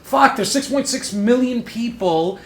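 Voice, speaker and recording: A man's voice: a little slow, a bit bright. Blunt, emphatic man in his forties. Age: 40s. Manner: blunt, emphatic, swears freely. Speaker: podcast co-host. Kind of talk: casual chat. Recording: echoey room with faint rumble.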